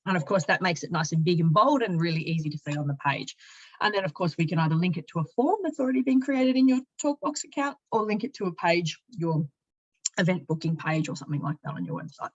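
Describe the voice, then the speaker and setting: Low-voiced woman giving a software demo. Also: animated, fast talker, slightly rough, muffled recording. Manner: explanatory, step-by-step, conversational. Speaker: product demonstrator, company sales and marketing director. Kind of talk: informal software walkthrough narration.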